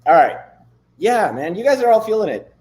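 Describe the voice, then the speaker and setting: A man in his thirties hosting a live stream, chatting loosely and swearing casually, a bit hoarse from losing his voice. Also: high-pitched, sing-song, fairly quick, a little mellow. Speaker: livestream host. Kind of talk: casual livestream chat with screen demos.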